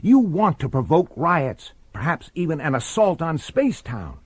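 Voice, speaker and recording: An actor playing a stubborn, suspicious, hot-tempered plainclothes detective in a radio drama, with a blunt, sardonic manner. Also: male voice, fairly high pitch, sing-song, moderate pace, slightly rough, no echo. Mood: angry, accusing.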